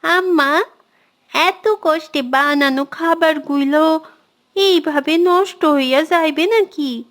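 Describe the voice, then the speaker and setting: A woman's voice: high-pitched, takes it slowly, a bit bright, voice pushed up. A woman voicing a proud, boastful young village girl in a cartoon, with speech. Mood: dismayed. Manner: bragging, rustic colloquial Bengali.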